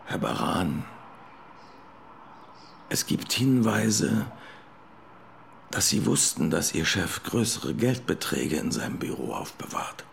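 An actor playing a dry, formally polite police detective who talks in a deliberate, insinuating way in a radio drama. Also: male voice, low-pitched, big pitch swings, gravelly, a little hiss or hum, heavily acted. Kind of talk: naturalistic German radio drama acting.

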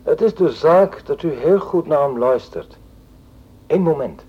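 A menacing male kidnapper in a radio thriller. His husky voice swings widely in pitch as he gives slow, controlled orders. The sound is dull and lo-fi. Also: expressive, a little mellow. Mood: cold, commanding. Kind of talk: radio drama acting. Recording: dull, lo-fi telephone scene.

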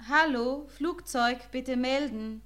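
Unhurried, high-voiced switchboard operator. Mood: businesslike. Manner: brief, official. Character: efficient, businesslike police switchboard operator. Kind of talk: radio crime drama acting. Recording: clear background noise, clicks.

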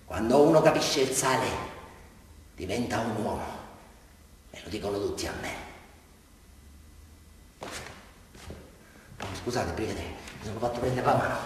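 A man's voice: fairly low pitch, expressive, a little slow, long pauses. An actor playing an unhinged, boastful southern Italian prisoner confessing to a policeman in a rambling theatrical monologue. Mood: creepy, subdued. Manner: rambling, volatile, repeats himself. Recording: echoey hall, distant mic, faint noise.

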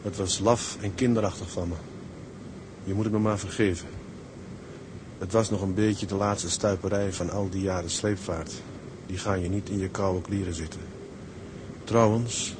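A man's voice: deep voice, fairly even intonation, normal speed, background noise, lightly acted. An actor playing an affectionate young seaman husband in a radio drama, talking. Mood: reflective, apologetic. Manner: colloquial, affectionate. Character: Jan, warm male merchant seaman, loving young husband.

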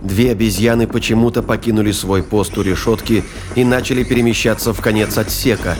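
A man's voice: lowish voice, fairly even intonation, a little fast, background noise. A man reading scripted narration for a science fiction thriller audio drama. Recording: narration over music and battle effects.

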